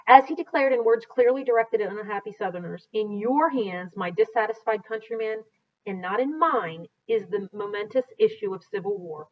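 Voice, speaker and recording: A woman's voice: mid-range voice, normal speed. American woman reading aloud, dull recording. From United States. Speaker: teacher reading aloud to students. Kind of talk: read-aloud of a history book with explanatory asides. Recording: dull, lo-fi sound.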